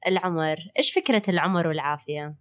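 A woman's voice: deep voice, lively intonation, rapid-fire, a bit bright. A woman with a Hijazi Saudi accent co-hosting a health podcast, explaining things conversationally and sprinkling in English words. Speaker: podcast co-host, holistic physician and wellness coach.

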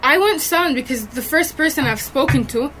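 A woman's voice: high voice, expressive, fairly quick, fairly bright tone, slight background noise, low-quality recording. A young woman, a podcast guest, speaking in an earnest, firm way. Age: young adult. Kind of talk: casual chat.

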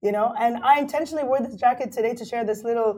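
A woman's voice: a little high, very fast, mellow. A woman in her thirties from Kuwait, a mountaineer guest talking casually in an interview, full of "like" and "you know". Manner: chatty, fillers like "like" and "you know".

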